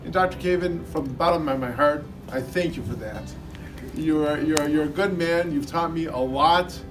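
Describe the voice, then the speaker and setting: High-pitched man, animated party toast, distant mic, loud music. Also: moderate pace. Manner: animated storyteller, laughing through jokes. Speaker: former trainee giving a tribute.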